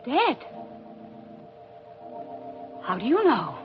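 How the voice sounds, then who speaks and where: A woman's voice: sits high, wildly swooping pitch, drawn-out words, over music, heavily acted. An actress playing an intense, haunted and determined woman in a 1940s radio suspense drama. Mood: horrified. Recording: muffled old radio recording.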